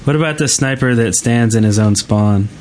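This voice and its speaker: A man's voice: medium pitch, normal speed, a little mellow, slight background noise. A man co-hosting a gaming podcast in casual chat and reading out listener mail.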